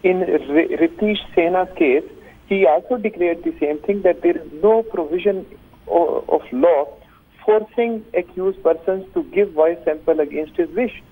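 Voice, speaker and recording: A man with a Pakistani accent, a lawyer in a live interview, speaking in formal legal wording, halting but insistent. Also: high-pitched. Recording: tinny, thin phone line.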